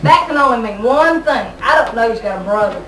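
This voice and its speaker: A woman performing an amateur comic skit monologue in a halting way, stumbling over her lines. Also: on the high side, animated. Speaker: amateur puppet show performer. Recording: distant noisy echoey home video.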